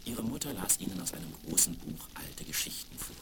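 A man's voice: low voice, big pitch swings, brisk. A man narrating a scripted fairy tale for children as storyteller, on a crackly 1970s vinyl record.

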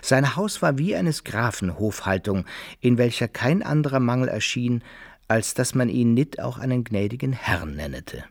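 A man's voice: medium pitch, very animated, moderate pace, vocal fry, breathy edge, no room sound, clean studio sound, exaggerated voice. An actor playing an aging adventurer who recounts his life in old-fashioned literary phrasing for a radio drama. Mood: wry recounting. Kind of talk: literary first-person narration in radio drama.

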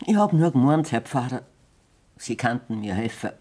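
A woman's voice: very deep voice, animated, normal speed, warm tone. A distressed Bavarian farmer's wife speaks plainly and plaintively in dialect. Her intonation rises and falls strongly, in the manner of a radio play. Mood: distressed, pleading.

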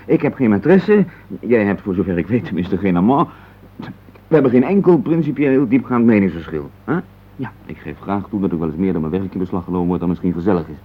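An actor playing a busy, dismissive and placating businessman husband in a radio play, with a brisk, patronising manner. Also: low-pitched, lively intonation, slightly rough. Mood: impatient, dismissive. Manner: brisk, patronising, full of tag questions. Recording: dull lo-fi radio play recording.

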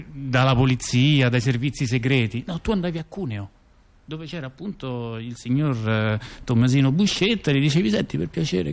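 A man playing a rambling intellectual in a conversational radio theatre piece, explaining things haltingly with repeated words and digressions. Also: mid-range voice, natural voice.

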